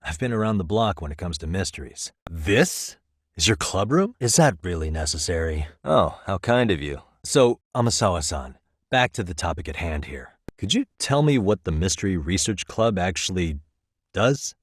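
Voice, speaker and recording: A man's voice: deep voice, very animated, normal speed, husky, no echo, heavily acted. A male actor with an American accent playing a wry, easygoing private detective in a video game, talking in a dry, casual, persuasive way. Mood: persuasive, polite. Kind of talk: scripted game dialogue, conversational.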